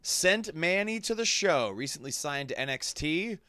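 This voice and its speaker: A man giving conversational, off-the-cuff behind-the-scenes commentary in an animated way. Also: fairly high pitch, moderate pace, no room sound. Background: game cutscene dialogue and ambience.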